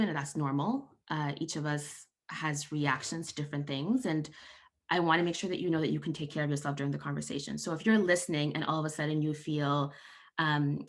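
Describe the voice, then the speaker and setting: A low-pitched woman speaks as a counsellor hosting an online panel. Her tone is calm and reassuring as she explains ideas step by step. She is heard over a video call. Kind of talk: gentle psychoeducation talk.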